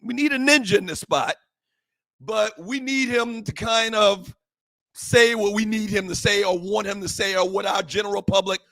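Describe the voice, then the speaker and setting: A man hosting a sports talk show, fervent and emphatic, repeating phrases and rising to shouts, his voice a little hoarse. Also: high voice, moderate pace, no room sound, poor audio. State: slightly hoarse, voice worn from shouting. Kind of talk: impassioned sports talk monologue.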